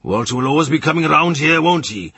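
Guy doing a loud mocking innkeeper, coarse, pushed up high, husky. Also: expressive, brisk, full character voice. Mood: bullying. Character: loud bullying middle-aged innkeeper man. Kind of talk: audiobook character voice.

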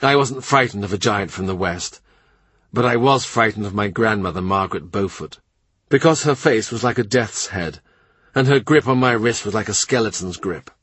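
A man's voice: fairly low pitch, lively intonation, normal speed, fairly bright tone, husky, no room sound. A man voicing a middle-aged king, blunt and boastful, with a scornful, brooding manner, in a storybook reading. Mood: reminiscing.

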